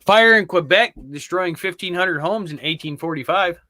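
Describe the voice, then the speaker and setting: A man with a fairly high voice chats casually as a podcast co-host. The sound is very dry, with no room echo around him. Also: normal speed, sharp tone. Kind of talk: casual panel chat.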